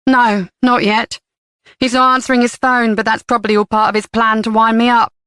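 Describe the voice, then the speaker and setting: Brash defensive mother, played by a woman: raised pitch, sharp and fast, dry read. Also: level tone, clean tone, dry recording, lightly acted. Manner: defensive, rapid jumbled talk.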